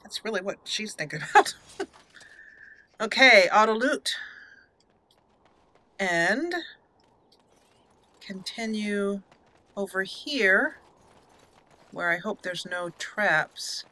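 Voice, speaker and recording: Bright-voiced woman doing game commentary. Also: mid-range voice, animated, takes it slowly, long pauses, no echo. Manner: chatty, thinking aloud. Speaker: gaming channel host. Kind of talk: casual let's play commentary. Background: game music.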